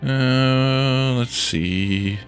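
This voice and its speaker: A man's voice: medium pitch, extremely slow, soft background music. A man with a congested head cold, casually thinking aloud as he streams a building game. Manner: thinking aloud, muttering to himself while building. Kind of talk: casual let's-play commentary.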